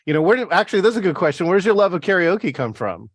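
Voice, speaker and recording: A man hosting and chatting casually about writing books, explaining in long relaxed runs and checking in with "right?". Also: high-pitched, fast, slightly rough, no room sound, clean studio sound. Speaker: host and author. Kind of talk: casual interview chat. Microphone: video call.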